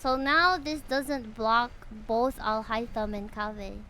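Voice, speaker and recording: Bright-voiced young woman gaming streamer. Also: fairly high pitch, slow, slight background noise. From Philippines. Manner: swears often, mutters to herself while puzzling. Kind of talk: casual gaming stream commentary.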